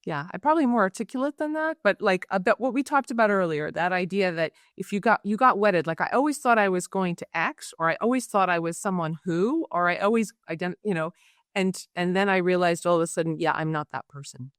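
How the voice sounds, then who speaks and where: A middle-aged woman, a coach, talking as a podcast guest in an animated, chatty way with frequent laughter. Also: on the low side, no room sound, clean studio sound. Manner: animated, warm, laughs often, quick talker. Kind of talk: casual interview chat.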